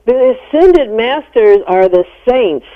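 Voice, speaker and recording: A woman's voice: a little high, lively intonation, slow. A middle-aged American woman explaining things as a psychologist guest in a radio interview. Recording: narrow-band phone-like radio sound.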